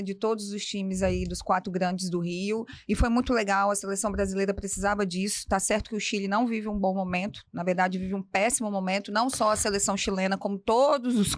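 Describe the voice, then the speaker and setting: A woman's voice: medium pitch, no echo. A Brazilian woman, a sports editor, talking chatty and enthusiastic on a talk show. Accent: Brazilian Portuguese. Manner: chatty, enthusiastic, tag questions.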